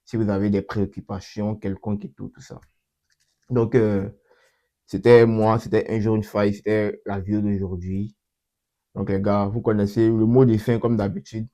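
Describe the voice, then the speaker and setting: A young man hosting his channel and talking through a coding demo in a casual way, with a cold that makes his voice a bit off. He repeats himself often and fills pauses with hesitations. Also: fairly low pitch, flat delivery, mellow. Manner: repeats himself, many fillers and restarts. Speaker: channel host. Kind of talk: casual screen-recorded coding walkthrough.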